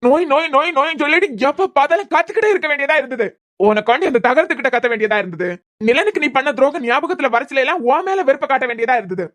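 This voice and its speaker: A woman's voice: high-pitched, lively intonation, rapid-fire, a bit bright. Woman doing a bitter, sarcastic young husband. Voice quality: sarcastic, bitter, repeating words when angry. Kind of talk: amateur audio drama.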